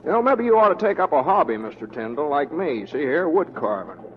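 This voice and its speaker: An actor playing a folksy, unflappable young deputy in a western radio drama, easygoing and understated with a drawl. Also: male voice, a little high, very animated, brisk. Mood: mild, wry. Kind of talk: 1940s radio acting. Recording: muffled old radio broadcast, faint noise.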